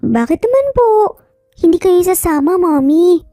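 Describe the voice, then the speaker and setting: A woman's voice: extremely high pitch, lively intonation, normal speed, mellow, no echo, studio quality, soft background music, voice pushed up. A woman voicing a sweet, pouty young child in a family scene of an audio story.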